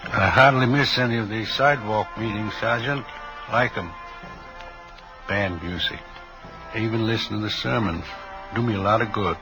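A man's voice: on the low side, normal speed, long pauses, lightly acted, rough recording. An actor playing a folksy, pious reformed drug peddler in his fifties, rambling and easily distracted, in a 1950s radio drama. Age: 50s. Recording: old radio drama, music playing behind.

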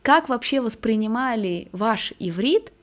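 A woman hosting a podcast, asking her guests articulate, measured questions. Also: on the high side, animated. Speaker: podcast host. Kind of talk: interview questions.

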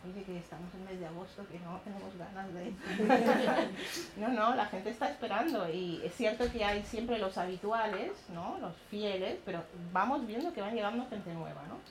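A middle-aged woman from Chile with a Chilean accent, speaking at a community talk and telling lively stories about her neighborhood projects. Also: medium pitch, normal speed, a crowd in the background. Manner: animated storytelling, laughing often, acting out voices of others. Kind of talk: public talk with audience questions. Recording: echoey room, some background noise.

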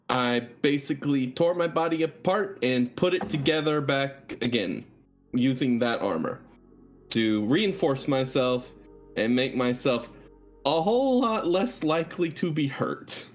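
A man with an average-pitched voice speaks as a player in a tabletop game. He recounts his character's deeds in deliberate, measured phrases. There is faint music behind him.

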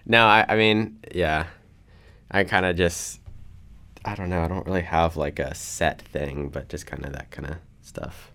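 A young man from North Carolina, a college student guest who chats hesitantly with many pauses and fillers. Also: low voice, fairly even intonation, bright, forceful tone. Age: young adult. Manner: hesitant, rambling, many ums. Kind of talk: casual chat.

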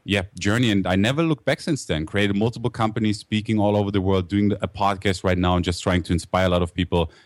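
A man's voice: fairly low pitch, fast talker, hardly any pauses, dry recording, studio quality. A man in his thirties with a German accent, telling his story as a guest with upbeat energy. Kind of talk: interview storytelling.

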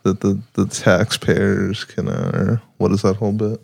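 A man in his late twenties, a podcast guest, answering in a slow, hesitant and thoughtful way. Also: on the low side, little pitch movement, vocal fry, darkish tone, slightly rough. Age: late 20s. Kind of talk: casual interview.

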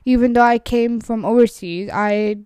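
Young woman, Urdu accent, native Urdu speaker, slightly high voice, dry close recording, casual rambling podcast talk. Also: moderate pace, darkish tone, smooth, no echo. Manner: rambling, frequent "like" fillers and restarts. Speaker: podcast host. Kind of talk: casual solo podcast monologue.

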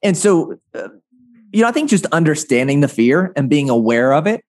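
A man's voice: a little high, sing-song, normal speed, dry recording. Male interview guest, conversational advice-giver who punctuates points with "right?", close dry recording. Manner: frequent "right?" tag questions. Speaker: interview guest, agency founder.

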